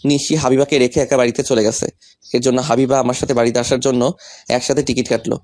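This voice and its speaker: A man's voice: mid-range voice, level tone, fast talker, a little mellow, dry recording. A young man reading a scripted first-person romantic story, voicing the male lead's lines as well. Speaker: story narrator and male lead.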